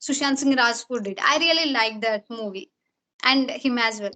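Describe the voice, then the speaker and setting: A woman from India with a Telugu accent and Telugu as her native language, chatting casually in halting English with repeated words. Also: a little high, pushed and bright. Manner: halting, repeats words while searching for phrasing. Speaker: talk show host in conversation. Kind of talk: casual chat. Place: video call.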